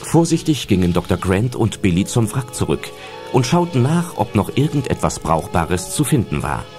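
A male narrator tells a German audio drama from a script. His voice is low and very animated in pitch, laid over background music. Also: normal speed.

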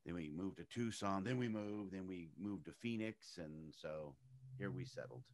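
A middle-aged man raised in Arizona, an actor talking casually as an interview guest and acting out the people he quotes with big pitch jumps. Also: moderate pace. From United States, raised in Arizona.

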